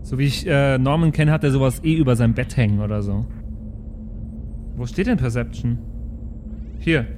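A man's voice: mid-range voice, moderate pace, pauses often, a little mellow. A man playing a tabletop role-playing game, chatting casually and improvising his character's plans. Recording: background noise and room ambience.

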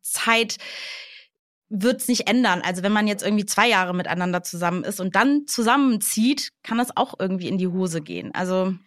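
A woman in her late thirties, co-hosting a podcast in relaxed conversation, with many fillers and easy laughter. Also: mid-range voice, moderate pace, no room sound, clean studio sound. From Germany. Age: 30s. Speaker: podcast co-host. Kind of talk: casual chat.

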